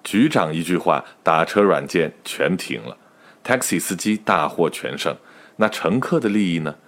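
A man's voice: sits low, animated, normal speed, a bit bright. A man narrating a comic radio drama as a wry, mock-serious storyteller, with noise behind him. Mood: wry, dramatic.

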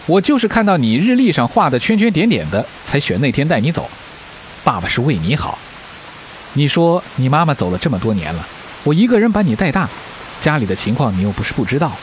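A man's voice: on the high side, big pitch swings, fairly quick, low-quality recording. An actor in a radio drama playing a stern, protective middle-aged father, lecturing firmly. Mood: stern, disapproving. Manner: firm, lecturing. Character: stern, protective widowed father of a teenage girl. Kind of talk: Chinese radio drama acting. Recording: faint hiss, rain-like noise.

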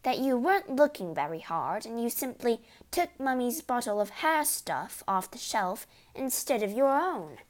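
A woman voicing a small, composed, clever girl in a storybook, soft-spoken and innocent. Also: medium pitch, expressive, moderate pace, lightly acted. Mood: demure.

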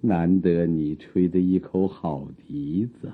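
An actor playing an elderly, proud and affectionate scholar in a theatrical Chinese radio drama, speaking in exclamatory, old-fashioned literary phrases. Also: male voice, low voice, steady tone, slow. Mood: curious, guarded. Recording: muffled, narrow phone-like sound.

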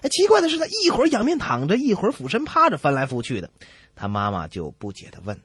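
Husky, animated male comedy radio host. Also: high-pitched, quick pace, no room sound. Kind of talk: playful scripted joke-telling banter.

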